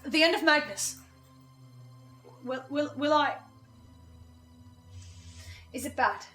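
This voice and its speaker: Actress playing anxious young woman, high pitch, strained, halting, audio drama, faint music bed. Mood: fearful. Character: anxious, haunted young woman fearing her own corruption.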